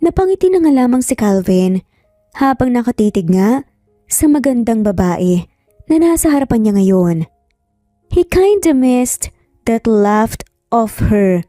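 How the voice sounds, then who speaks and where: A woman's voice: a little high, big pitch swings, unhurried, long pauses, mellow, no echo, clean studio sound, soft background music. A woman with a Filipino accent reading a scripted romance story as narrator.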